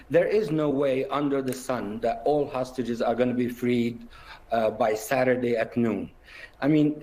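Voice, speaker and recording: A man's voice: medium pitch, a little mellow, low-quality recording. A man from Jerusalem speaking as a guest in a live TV news interview, firm and emphatic.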